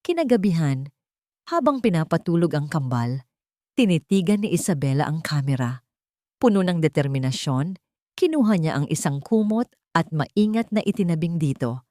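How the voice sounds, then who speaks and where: A woman's voice: on the low side, dark tone, no room sound, studio quality. A woman reading a scripted story as an audiobook narrator, in a dramatic storytelling style.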